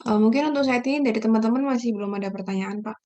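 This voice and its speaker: A woman's voice: a little high, rapid-fire, a little mellow. A young woman speaking politely and deferentially as a student coordinator answering her lecturer in an online class.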